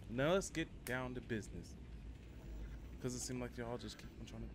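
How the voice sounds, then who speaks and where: A man's voice: mid-range voice, expressive, normal speed, background noise. A man chatting informally and in a rambling way to viewers as he streams a game. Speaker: live streamer. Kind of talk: casual gaming livestream chat.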